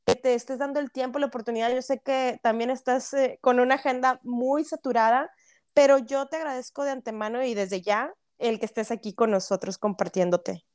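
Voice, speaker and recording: Mexican lady podcast host, kinda high voice, chatty, dry close sound. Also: fairly even intonation, fairly quick, darkish tone, clean tone, no room sound. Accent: Mexican Spanish. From Monterrey, Mexico. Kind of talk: casual podcast interview.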